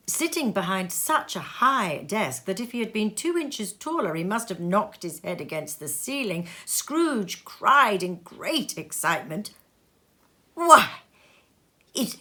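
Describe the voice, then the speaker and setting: A woman's voice: mid-range voice, unhurried. A woman narrating a classic story expressively and dramatically, savouring each phrase. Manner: animated, dramatic, savours lists. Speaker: storybook reader. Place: sitting room.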